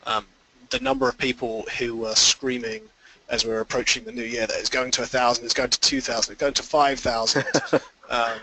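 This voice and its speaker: A man's voice: medium pitch, flat delivery, a little fast, pushed and bright, dry recording, low-quality recording. A man giving informal, explanatory answers as an investor guest in a conference call interview.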